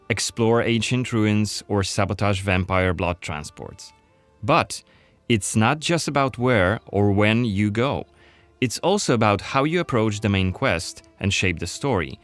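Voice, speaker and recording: Low-voiced man narrating over music. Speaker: developer presenter of a game demo. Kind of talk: scripted gameplay showcase narration. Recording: music under the voice. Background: background music.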